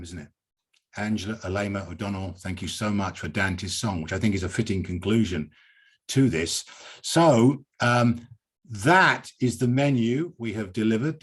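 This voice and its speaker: A British man hosting a poetry reading, reciting and commenting in an emphatic, expressive, theatrical manner. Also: fairly low pitch, husky. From Britain.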